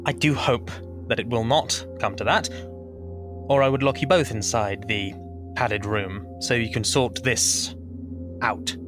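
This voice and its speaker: A man's voice: medium pitch, fairly even intonation, a little slow, music in the background, close to an everyday voice. An actor playing a fatherly, courteous Victorian doctor, speaking formally and reassuringly in a period audio drama. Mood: stern, hesitant.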